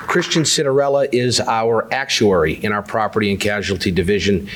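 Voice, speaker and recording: A man's voice: on the low side, background noise. A man with a cold giving affable, self-deprecating town hall remarks with frequent "uh" fillers. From New Hampshire. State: has a cold. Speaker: state insurance commissioner.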